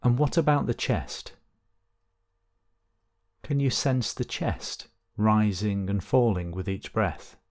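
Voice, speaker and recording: Low-voiced man guiding a meditation. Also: lively intonation. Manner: gentle, unhurried instruction with long pauses. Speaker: meditation guide. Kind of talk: guided body scan meditation.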